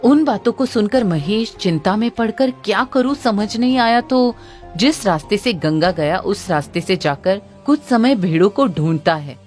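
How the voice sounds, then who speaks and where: A woman's voice: mid-range voice, darkish tone. A woman reading scripted narration for a children's folk story. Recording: music under the voice.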